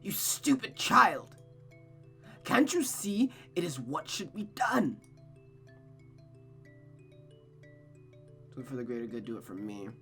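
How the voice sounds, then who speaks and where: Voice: medium pitch, big pitch swings, sharp tone. A scornful, manipulative mother spirit from a visual novel, acted out by a game reader. She is possessive and commanding, delivering her lines with theatrical weight over background music. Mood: furious. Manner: commanding, dramatic, drawn-out outbursts.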